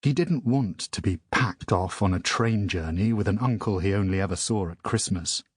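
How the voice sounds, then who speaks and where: A man narrating a children's audiobook in a measured storytelling manner. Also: fairly low pitch, breathy edge, dry recording, studio quality.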